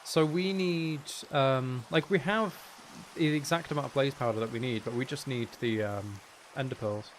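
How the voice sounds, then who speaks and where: A man's voice: mid-range voice, normal speed, few pauses, a little hiss or hum. A man streaming a game, chatting casually in a rambling, hesitant way as he thinks aloud. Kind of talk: casual chatty gaming livestream commentary.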